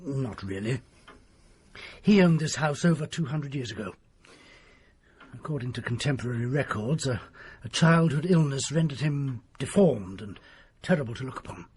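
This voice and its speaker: An actor playing a secretive country doctor who tells a grim tale in a grave, hushed manner. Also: male voice, medium pitch, lively intonation, normal speed, breathy edge. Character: desperate, secretive middle-aged male country doctor. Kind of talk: period radio drama acting.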